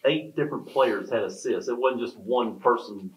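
A man's voice: mid-range voice, moderate pace, poor audio. A man working as a sports reporter, asking questions at a postgame press conference. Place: indoor press conference room.

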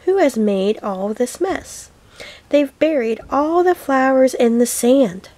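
A woman's voice: high-pitched, lively intonation, slow, dark tone. A woman voicing a kindly but easily dismayed school teacher in a storybook, with simple dramatic delivery.

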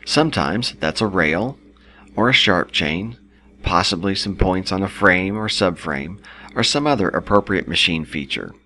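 A man reading a scripted instructional product narration in a measured, explanatory manner. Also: deep voice, expressive, bright, forceful tone.

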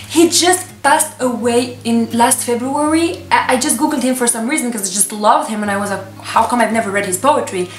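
A woman in her twenties, a native Arabic speaker, casually recommending books to camera in an expressive, gushing, emphatic manner. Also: a little high. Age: 20s. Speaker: book reviewer and vlogger. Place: small furnished room with books, indoors. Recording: echoey room, distant camera microphone.

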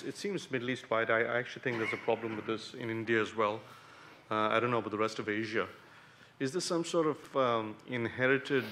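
A man's voice: medium pitch, a bit bright, a little hiss or hum. A man in the audience of a lecture hall asking a question, hesitant and thinking aloud at a stand mic. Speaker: audience member. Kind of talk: question from the floor.

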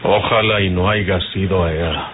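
An actor plays a gruff, distrustful bandit chief in radio drama. His voice is very low. The old recording is narrow and faintly noisy. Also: fairly even intonation, normal speed, natural voice. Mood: wary. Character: gruff, wary male bandit leader in a western. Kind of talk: old radio western acting.

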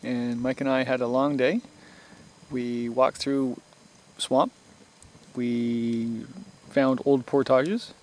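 A middle-aged man with a Canadian accent chatting casually to camera outdoors, telling his trip story in a halting, rambling way. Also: mid-range voice, fairly even intonation, a little mellow, low-quality recording. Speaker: canoe trip host. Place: outdoors in woods and by lakes. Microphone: camera mic at close range.

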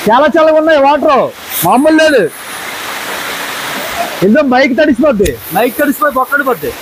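A young man, chatting casually and excitably to camera as a travel vlogger, in slangy, repetitive phrases. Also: extremely high pitch, fairly quick. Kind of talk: casual vlog chat to camera. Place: outdoors on forest trail and by waterfalls. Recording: outdoor handheld recording with background noise.

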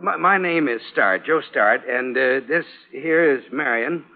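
An American man with a gravelly, husky voice acting a stubborn, plain-spoken homesteader father in a 1950s radio western drama. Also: medium pitch, expressive, moderate pace, natural voice. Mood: friendly, hesitant. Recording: dull old radio broadcast.

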